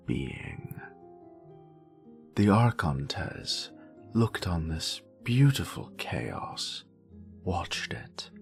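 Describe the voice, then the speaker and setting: A man with a British accent voicing a sassy, grumpy, learned wizard who narrates fantasy lore in a theatrical, deliberate way with breathy drops and wry condescension. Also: sits low. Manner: wry, condescending scholarly asides. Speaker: voice actor.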